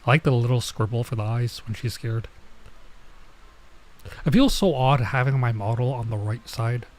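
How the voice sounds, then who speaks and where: A man's voice: mid-range voice, moderate pace, a little mellow. A man streaming gameplay with casual commentary, thinking aloud in a hesitant, rambling way. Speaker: streamer, virtual YouTuber.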